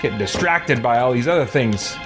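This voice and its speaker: A man's voice: mid-range voice, lively intonation, fairly quick, over loud music. A man doing casual, conversational live commentary as he streams a video game. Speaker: let's play streamer.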